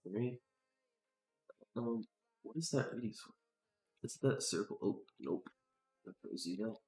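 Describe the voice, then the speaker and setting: A man's voice: on the low side, slow, darkish tone, faint music behind. A man thinking aloud casually on a livestream as he works, muttering to himself in slangy, sweary talk. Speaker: game developer live-streaming work. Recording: roomy echo.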